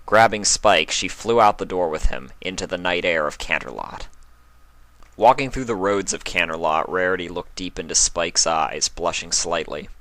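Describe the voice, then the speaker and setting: A man narrates a scripted story in a dramatic reading style. His voice is on the low side, with a bright, forceful tone. The recording is close and dry, with little room sound. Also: moderate pace, no echo. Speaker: fan fiction reader, narrator.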